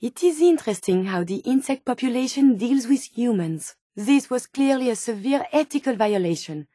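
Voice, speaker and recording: High-voiced, curious robot scientist. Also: female voice, lively intonation, normal speed, mellow, dry recording. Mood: earnest, analytical. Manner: formal, precise wording with wide-eyed wonder. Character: curious, polite female robot scientist, earnest and naive. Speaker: video game voice actor. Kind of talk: scripted game companion dialogue.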